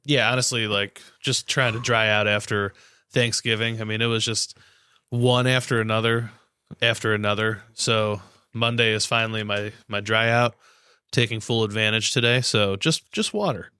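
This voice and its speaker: A man's voice: lowish voice, fairly even intonation, moderate pace, bright, forceful tone, clear, resonant tone, no echo. A man co-hosting a podcast, chatting casually about sports in a slangy, informal way. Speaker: podcast co-host.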